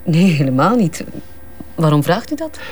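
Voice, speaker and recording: Brisk, guarded Flemish businesswoman. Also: low-pitched, big pitch swings, a little mellow, slightly rough, heavily acted. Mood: guarded, defensive. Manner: curt, defensive, evasive. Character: brisk, guarded, haughty businesswoman at advertising firm. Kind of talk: naturalistic Flemish radio crime drama.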